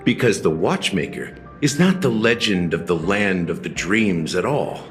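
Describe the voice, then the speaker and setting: An actor playing a worldly, cynical detective guide in a video game, speaking in a theatrical, rhetorical way. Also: male voice, sits low, sing-song, unhurried, exaggerated voice. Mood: knowing, probing. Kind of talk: scripted video game dialogue. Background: music.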